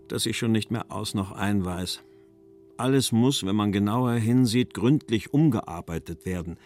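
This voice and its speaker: Male reader for documentary letter excerpts, low register, music behind. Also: darkish tone. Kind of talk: scripted reading of a letter.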